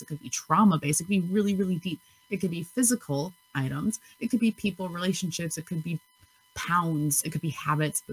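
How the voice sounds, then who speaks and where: Low, husky-voiced chatty woman podcaster. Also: poor audio. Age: 30s. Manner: chatty, giggly, self-correcting. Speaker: podcast host. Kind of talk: solo podcast chat.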